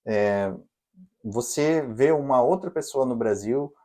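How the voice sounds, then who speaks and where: A Brazilian man with a mellow, warm tone co-hosts a casual podcast chat. He explains things patiently and speaks straight to his listeners with practical advice. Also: medium pitch, animated, slow. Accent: Brazilian Portuguese. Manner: explanatory, advising listeners directly. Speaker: podcast co-host. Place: living in Canada.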